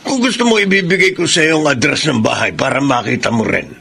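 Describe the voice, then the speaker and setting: An actor playing a protective older father in a radio drama, speaking gravely, deliberately and with emphasis. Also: medium pitch, sharp tone, heavily acted. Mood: troubled, indignant. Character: protective, grave older father exposing his son-in-law's affair. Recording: clear background noise.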